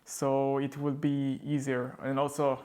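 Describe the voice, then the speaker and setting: A woman's voice: extremely low pitch, completely flat delivery, a little slow, warm tone. A woman chatting casually as a co-host, explaining things in a slightly hesitant way. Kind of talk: casual chat.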